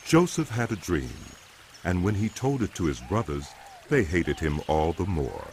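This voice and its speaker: A man giving dramatic, scripted Bible narration in a solemn, deliberate manner. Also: low voice, big pitch swings, darkish tone, a little hiss or hum. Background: music.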